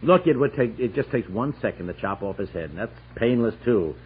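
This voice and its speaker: An actor playing a sardonic, put-upon American husband in a 1960s radio comedy, grumbling drily. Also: male voice, medium pitch, animated, brisk, slightly rough, phone-quality sound. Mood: dry, sardonic. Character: exasperated, sardonic suburban husband and father.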